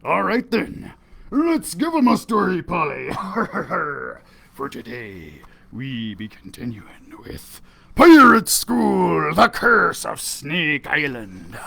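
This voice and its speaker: Gravelly man reading in pirate talk. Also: fairly high pitch, huge pitch swings, slow, pushed and bright. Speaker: children's librarian reading aloud. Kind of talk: storytime read-aloud. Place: library video recording.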